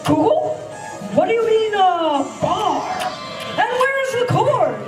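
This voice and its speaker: A woman's voice: extremely high pitch, sing-song, slow, full character voice. A woman playing an eccentric, eager time-travelling scientist in an amateur stage radio play, with a theatrical, exclamatory delivery. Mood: puzzled, amazed. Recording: echoey stage, distant mic, music behind.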